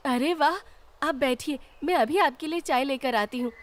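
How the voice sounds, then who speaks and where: A woman's voice: sits high, moderate pace, pitched above the performer's own voice, natural voice. A woman voicing a chatty, teasing, hospitable village housewife in a children's cartoon story, exclamatory and full of questions. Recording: music behind the voice.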